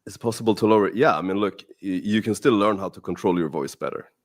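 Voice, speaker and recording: A man's voice: lowish voice, quick pace. A man in casual podcast chat, blunt and emphatic, swearing freely. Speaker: dating coach.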